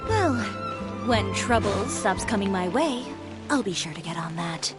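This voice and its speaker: A woman playing a brusque, self-assured female video game character with a blunt, deadpan manner and dry wit. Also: mid-range voice, a little slow, over loud music. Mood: cool, wry.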